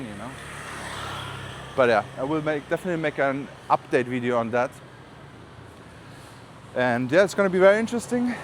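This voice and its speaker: A man from Germany with a German accent, chatting casually and rambling with informal asides in a walking vlog. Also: fairly high pitch. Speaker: vlogger. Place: outdoors on city streets while walking. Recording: outdoor street noise, passing traffic. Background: urban street ambience, vehicles.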